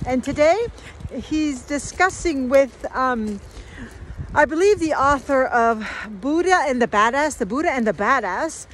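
A bright, high-pitched woman talks in a chatty, upbeat way, stretching her words for emphasis. She is filming herself outdoors on a phone at arm's length. Faint outdoor noise sits behind her voice. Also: animated, measured pace, rough recording. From Peru, raised in the United States. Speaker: vlogger hosting a weekly giveaway. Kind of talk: casual live selfie vlog. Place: outdoors by a stony riverbed. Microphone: phone held at arm's length.

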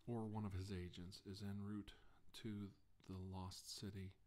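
A middle-aged man playing a grave scientist giving a hushed, hesitant deposition to an official in a quiet ASMR role-play. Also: on the low side, level tone, unhurried, lightly acted. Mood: grave, measured. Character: grave scientist briefing a navy official after captivity.

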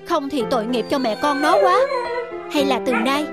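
A man's voice: very high voice, moderate pace, over loud music. A man playing a sturdy, kindhearted rustic fisherman in a cartoon, plain-spoken and earnest. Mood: compassionate. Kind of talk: cartoon voice acting.

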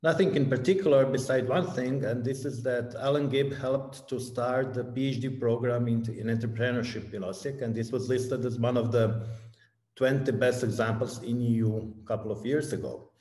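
A man's voice: medium pitch, steady tone, normal speed, darkish tone. A man in his fifties with a Croatian accent explaining things as a panelist on a video call from a plain office. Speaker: biotech company leader, webinar panelist. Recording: echoey room over video call.

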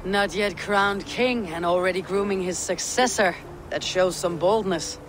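Loud hooves and open-air noise sit behind a wary Norse warrior. The voice is high for a male, with a Scandinavian accent and dry, terse delivery. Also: unhurried. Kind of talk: video game dialogue acting. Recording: loud horse hooves and outdoor ambience.

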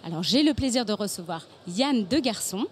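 A woman's voice: mid-range voice, expressive, normal speed. A woman, hosting a promotional interview on a handheld microphone. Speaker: interviewer and host. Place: exhibition studio stand at a motor show. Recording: clear background ambience noise.

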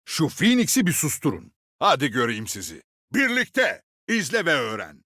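Game role: gruff male squad leader, seasoned and commanding, very animated delivery, close dry recording. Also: a little high, moderate pace, no room sound, full character voice. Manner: authoritative, clipped commands with dry swagger. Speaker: game character voice actor. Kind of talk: video game voice lines, short military barks.

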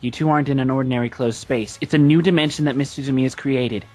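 A man's voice: mid-range voice, fairly even intonation, quick pace, a little mellow, soft background music, close to an everyday voice. A man voicing a polite, composed teenage schoolboy with mock solemnity in anime fan dub outtakes. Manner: mock-solemn, joking asides.

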